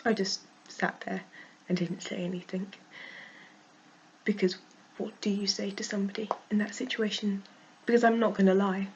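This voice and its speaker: A woman with a cold and a croaky voice, frankly venting in a casual vlog and laughing often. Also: mid-range voice, flat delivery, normal speed, darkish tone, highs cut off, slight background noise. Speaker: vlogger.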